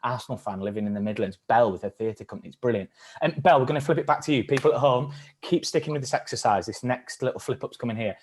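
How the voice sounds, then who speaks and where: A man's voice: mid-range voice, fast, a little hiss or hum. Upbeat man coaching a workshop. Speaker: workshop leader. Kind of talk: live-streamed acting workshop.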